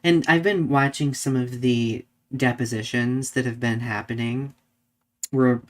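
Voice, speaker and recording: Podcast cohost talking news casually, medium pitch voice, lots of likes. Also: steady tone, a little slow. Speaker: podcast co-host. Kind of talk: live podcast discussion of current events.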